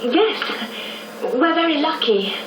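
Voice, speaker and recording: An actress playing a young, upper-class English daughter in a radio drama, polite and gently wry. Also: female voice, high voice, animated, slow. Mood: wistful, shy. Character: bright, gently teasing young aristocratic daughter. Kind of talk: 1990s radio drama acting. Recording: noisy, roomy radio drama copy, distant.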